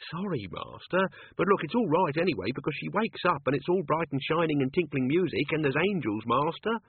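A man's voice: on the high side, animated, brisk, slightly rough, no room sound, voice pushed up. A man voicing a nervous, wheedling old male manservant who keeps calling his employer master. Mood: fretful. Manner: nervous, pleading, says Master often. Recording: tinny lo-fi audio.

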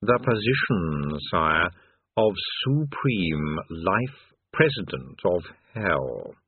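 Guy doing an old demon flatterer, oily n expansive, really drawn out, storybook, phone-like audio. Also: deep voice, extremely slow, husky, no room sound, pitched below the performer's own voice, full character voice. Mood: grand announcement. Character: old demon courtier, slyly flattering, grandly obsequious. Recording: narrow tinny phone-like sound.

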